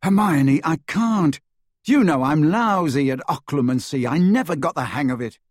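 A man's voice: a little high, very animated, a little fast, no room sound, studio quality. A man voicing a stubborn, troubled teenage boy in an audiobook, earnest and defensive.

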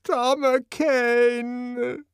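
A man reading an audiobook, voicing a desperate aging woman with a shrill, quavering plea. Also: very animated, unhurried, smooth, dry recording, studio quality. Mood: trembling. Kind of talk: audiobook character voice.

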